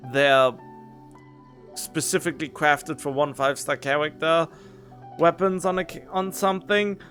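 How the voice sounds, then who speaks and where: A man's voice: a little high, fairly bright tone. A man giving casual gameplay commentary as a streamer, musing to himself in a rambling way. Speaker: game streamer.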